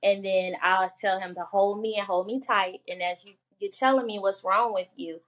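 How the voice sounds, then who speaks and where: A soft-spoken woman guest telling her story earnestly in a casual chat over a video call. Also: medium pitch, steady tone, normal speed. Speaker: guest, mother of two.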